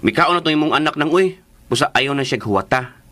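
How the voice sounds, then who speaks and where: A nagging, practical household man talks in a high, very animated voice. He has a Cebuano Filipino accent and a theatrical radio drama delivery. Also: brisk. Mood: nagging, teasing. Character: nagging, practical household companion urging supper. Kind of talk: Philippine radio drama acting.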